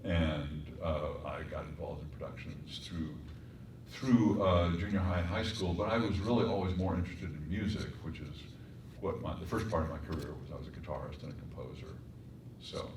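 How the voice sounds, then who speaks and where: A man from Colorado with a very deep voice, a voice actor and composer telling wry stories on a stage panel. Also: moderate pace, darkish tone. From Colorado, United States. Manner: storytelling, wry, reflective. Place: performing arts center stage. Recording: echoey hall, distant mic, faint hum.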